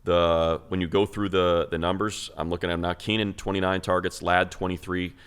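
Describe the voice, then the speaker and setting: A man's voice: low-pitched, level tone, fairly quick, fairly bright tone, clear, resonant tone. A man giving casual sports podcast commentary as a host, conversational and emphatic, repeating phrases for stress. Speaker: sports show host and analyst.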